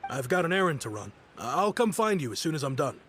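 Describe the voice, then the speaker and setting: A man's voice: fairly high pitch, sing-song, a little fast, fairly bright tone. An actor playing a proud, sentimental young businessman in a video game, conversational and self-assured. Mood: friendly. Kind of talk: video game character acting. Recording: faint background noise and ambience.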